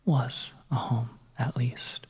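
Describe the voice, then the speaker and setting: Breathy whispering ASMR storyteller, flat and slow. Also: medium pitch. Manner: soft, hushed, gentle delivery with pauses. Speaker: audio storyteller. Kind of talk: whispered second-person horror ASMR story narration.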